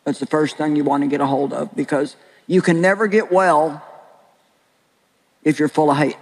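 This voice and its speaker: An older woman with a very deep voice preaching a sermon and sharing her own story in an earnest, plain-spoken way with slow, deliberate pauses. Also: steady tone, a little mellow, husky, long reverb tail, poor audio. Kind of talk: sermon with personal testimony. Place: large hall with an audience.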